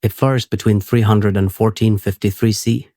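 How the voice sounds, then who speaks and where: A man hosting a sleep podcast, reading a script in a steady, unhurried, soothing way. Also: fairly low pitch, dry recording, studio quality.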